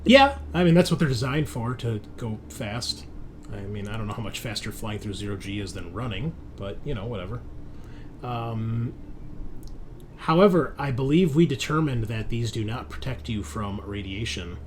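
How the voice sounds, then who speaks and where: A man's voice: medium pitch, expressive, moderate pace, slight background noise. A man running a tabletop roleplaying game as game master, talking in a casual, conversational way.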